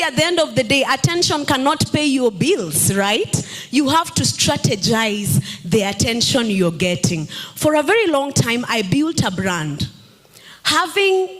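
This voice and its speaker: Slow-talking woman at a summit. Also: sits high. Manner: engaging, asks the audience questions. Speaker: panelist, personal branding coach. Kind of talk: panel talk to a live audience. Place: stage at a summit. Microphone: handheld mic.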